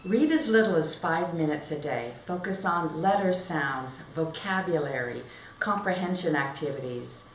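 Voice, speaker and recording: A woman teacher demonstrating read-aloud storytelling and instruction in simple, expressive phrasing. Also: deep voice, normal speed, slight background noise. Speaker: teacher trainer. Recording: echoey room.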